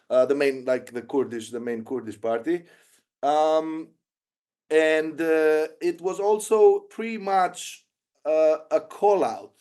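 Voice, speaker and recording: A man's voice: fairly high pitch, darkish tone. A man with a Greek accent, answering interview questions at length with frequent fillers and restarts. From Greece. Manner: hesitant, many fillers and restarts. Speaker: guest filmmaker.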